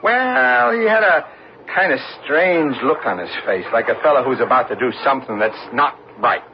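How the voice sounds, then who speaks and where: A man's voice: medium pitch, lively intonation, moderate pace, gravelly. On a muffled, hissy old radio drama, a world-weary older boat club regular. His voice swoops up and down as he draws out knowing, folksy remarks. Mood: knowing, ominous.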